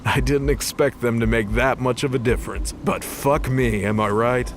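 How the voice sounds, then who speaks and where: A man's voice: mid-range voice, fairly even intonation, normal speed, noisy background, lightly acted. An actor playing a cruel male angel of death in an audio drama, casual, sardonic and menacing. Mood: taunting. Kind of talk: mythology audio drama acting.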